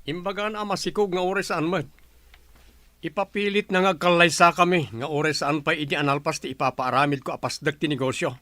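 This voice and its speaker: A man's voice: on the high side, steady tone, a little fast, pushed and bright, no room sound. A man with a Filipino accent playing a troubled businessman and son in a radio drama. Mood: troubled, earnest. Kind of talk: Ilocano radio drama acting.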